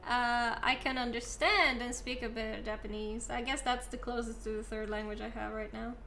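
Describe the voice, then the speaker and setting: A woman's voice: fairly high pitch, steady tone, moderate pace, creaky, sharp tone, soft background music. A young Norwegian woman with a Norwegian accent streaming a video game, chatting casually and answering chat, a little self-deprecating. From Norway.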